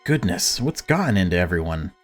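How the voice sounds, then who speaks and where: A man's voice: low voice, very animated, brisk. Man playing a fretful mother, prim, chatty, forced cheer, visual novel character, music behind. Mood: flustered. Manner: prim, chatty, insistently upbeat.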